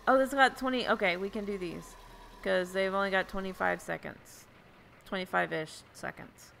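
Young woman streamer on headset mic, chatty and giggly, bright tone with gravelly fry. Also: medium pitch, moderate pace, a little hiss or hum. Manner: chatty, giggly, thinking aloud. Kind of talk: casual gameplay chat. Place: home room indoors.

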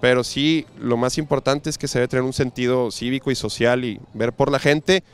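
A man's voice: mid-range voice, fairly even intonation, moderate pace, clear, resonant tone. A man in his thirties with a Mexican accent, interviewing passersby on a pier with a handheld mic, joking in slangy banter. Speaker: street interviewer. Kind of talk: casual street interview. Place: outdoors on a busy seaside pier.

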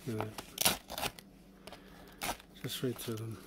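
A man's voice: fairly low pitch, slow. Easygoing man demoing a knife. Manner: relaxed and rambling, with chuckles and filler words. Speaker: channel host reviewing a pocket knife. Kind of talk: casual product demonstration. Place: indoors at a wooden table. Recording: steady background noise with handling clicks.

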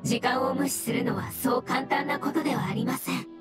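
A woman voicing a gentle, solemn mushroom spirit in an anime game, speaking formally and with earnest courtesy. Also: sits high, steady tone, fairly quick, lightly acted. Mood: serious, cautious. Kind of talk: Japanese anime game voice acting. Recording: game music behind.

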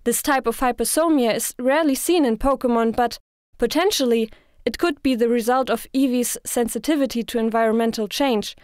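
A woman voicing a composed, professional nurse, explaining things in a measured, clinical manner in anime style. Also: fairly high pitch, darkish tone, clean tone, no room sound, studio quality, lightly acted. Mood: calm, clinical. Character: composed, professional female Pokemon Center nurse.

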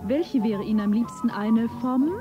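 A woman's voice: fairly high pitch, normal speed, natural voice. A woman playing an insistent interrogator in a stylised radio play, prompting with fill-in-the-blank questions. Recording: dull vintage radio recording. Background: loud music.

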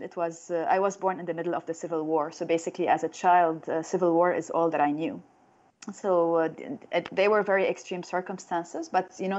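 A woman's voice: lowish voice, darkish tone, dull audio. A woman in her thirties from Lebanon, with a Lebanese accent, telling her own story as an interview guest. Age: 30s.

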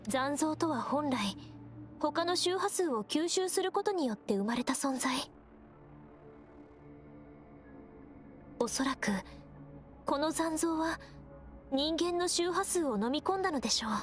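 A gentle, perceptive young scout from an anime game. Her voice is high and she speaks politely, in measured and earnest phrases. Soft music runs behind her. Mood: somber. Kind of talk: Japanese anime game voice acting.

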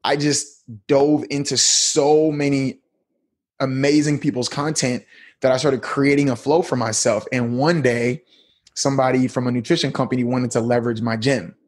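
Husky-voiced man telling his story. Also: mid-range voice, steady tone, normal speed. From United States. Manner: laid-back, slangy storytelling. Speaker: podcast guest. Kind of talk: casual interview talk.

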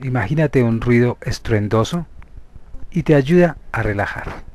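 A man with a Colombian Spanish accent leading a guided hypnosis meditation as a therapist, speaking deliberately in short, separated phrases. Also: medium pitch, lively intonation, a little mellow. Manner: slow, deliberate, pausing between short phrases.

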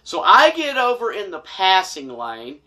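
A middle-aged man tells a personal story casually to camera in an animated, folksy, colloquial way. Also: high-pitched, unhurried. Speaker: storyteller, vlog host. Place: small wood-panelled room indoors.

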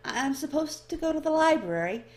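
A woman's voice: high-pitched, big pitch swings, normal speed, voice pushed up. A woman voicing a timid young-sounding elf refugee, hesitant and stammering, in a fantasy audiobook. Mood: nervous. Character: nervous, timid female elf refugee and former scribe.

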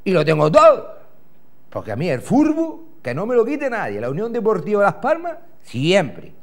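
A man's voice: high-pitched, huge pitch swings, moderate pace. A middle-aged man with a Canarian Spanish accent telling a rambling comic story as a TV host, swearing and doing the other people's voices, on a lapel mic in a studio. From Gran Canaria. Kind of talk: comic monologue in character. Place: TV studio in front of a backdrop.

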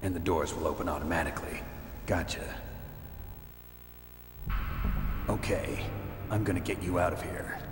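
Video game hero: male actor as covert soldier, curt and gruff, echoey sound with loud music behind. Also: low voice, fairly quick, natural voice. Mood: curt, resolute. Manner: terse, clipped questioning. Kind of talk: dramatic game cutscene acting.

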